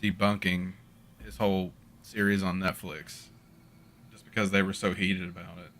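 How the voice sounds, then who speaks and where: Low, bright-toned male co-host. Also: little pitch movement, normal speed. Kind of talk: casual live radio chat.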